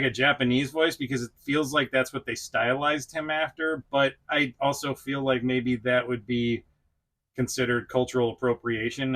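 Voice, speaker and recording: A man's voice: mid-range voice, sharp tone. A middle-aged man hosting a casual review vlog, chatty and self-deprecating, talking straight to the viewer. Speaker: toy review channel host.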